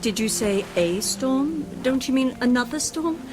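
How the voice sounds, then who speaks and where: Sarcastic, fed-up wife. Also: female voice, high voice, animated, a little slow. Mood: bitter sarcasm. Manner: sarcastic, nagging. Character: bitter, fed-up wife of long-suffering years. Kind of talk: radio drama acting.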